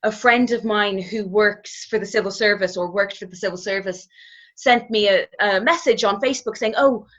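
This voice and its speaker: A woman in her twenties with an Irish accent, a guest telling her story in a relaxed, reflective way and often hedging with "kind of". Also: medium pitch. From Ireland. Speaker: interview guest. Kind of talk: casual conversational storytelling. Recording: slightly dull, muffled audio.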